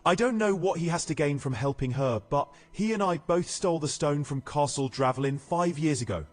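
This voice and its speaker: Man playing a higher-voiced, wry fantasy assassin. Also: expressive, brisk, natural voice. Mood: urgent, bitter. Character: wry, guild-trained assassin man, uneasy and self-preserving. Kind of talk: fantasy audio drama acting.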